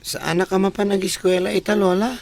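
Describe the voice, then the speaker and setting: Woman acting a creaky-voiced, worried young boy. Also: medium pitch, fairly even intonation, brisk, a little mellow. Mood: meek. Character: worried young boy, responsible older brother. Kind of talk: radio drama acting.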